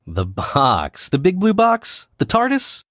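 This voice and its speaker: A man playing a cocky, needling American spy in an audio drama, casual and clipped, with quiet menace. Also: sits high, wildly swooping pitch, slow, full character voice. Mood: pressing, cold.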